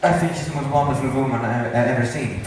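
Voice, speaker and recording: A man's voice: medium pitch, quick pace, lightly acted. A man acting an earnest, smitten young soldier in an amateur stage comedy. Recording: echoey hall, distant mic, noisy.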